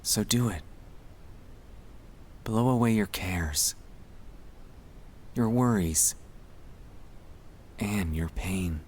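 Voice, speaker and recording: A man speaking in a gentle, soothing, reassuring way, delivering a scripted ASMR guided relaxation to help the listener sleep. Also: lowish voice, drawn-out words, pauses often, a little hiss or hum.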